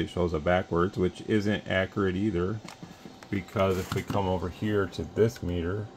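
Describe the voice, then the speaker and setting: A man with a very low voice talks plainly and casually through a radio bench demonstration, now and then counting into the mic. Behind him is a faint, steady hum from the room. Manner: plain, conversational, with frequent restarts and mic test counts. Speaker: radio shop owner demonstrating equipment. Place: radio workbench full of test equipment, indoors.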